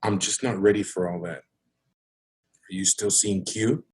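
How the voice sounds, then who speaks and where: A man's voice: deep voice, steady tone, slow, darkish tone, husky, no room sound, full character voice. A man acting in an audio drama as a guarded ex who speaks in a terse, reserved way. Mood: cold, guarded. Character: guarded, curt man wary of his ex.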